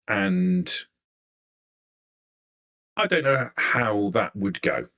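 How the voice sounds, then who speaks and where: A man acts out a poised vampire noblewoman in a tabletop game. The voice sits low and chooses words formally and deliberately, polite with cool menace underneath. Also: animated, pushed and bright, exaggerated voice. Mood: guarded, calculating. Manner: formal, measured, deliberate diction. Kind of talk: improvised tabletop roleplay.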